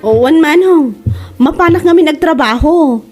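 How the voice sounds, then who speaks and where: A high, lively voice with an Ilocano Filipino accent makes a polite, unbothered young working woman, acted by a woman. The lines are comedy-drama dialogue with music underneath. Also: normal speed. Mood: polite, cheerful.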